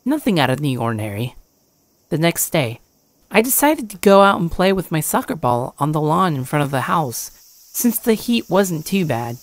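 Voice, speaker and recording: A woman's voice: sits low, big pitch swings, normal speed, dry recording. A woman giving a scripted first-person horror story narration.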